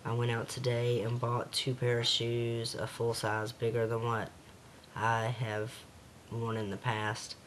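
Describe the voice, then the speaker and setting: A man with a slightly raspy voice talking hesitantly in a casual home video diary, with many pauses and fillers. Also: medium pitch, monotone, fairly bright tone, a little hiss or hum.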